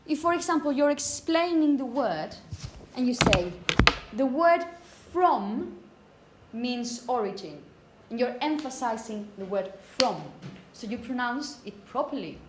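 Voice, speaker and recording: Higher-voiced woman teaching in an echoey room. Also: expressive, measured pace. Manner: explanatory, demonstrates words with emphasis, frequent check-in questions. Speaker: phonetics teacher. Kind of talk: classroom lecture to students. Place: classroom, indoors. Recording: echoey room, background noise.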